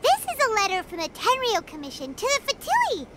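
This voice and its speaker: A woman voicing a tiny, childlike fairy companion in a video game, squeaky, excitable and cheeky. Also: fairly quick, heavy background noise, exaggerated voice. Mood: excited, revealing. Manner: bubbly, emphatic, exclamatory. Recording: background music under the voice.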